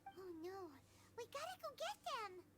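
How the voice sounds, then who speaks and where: Very high, squeaky little fairy companion. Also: female voice, big pitch swings, normal speed, noisy background. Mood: excited. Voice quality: squeaky, childlike. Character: tiny, eager, childlike fairy companion. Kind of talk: video game voice acting.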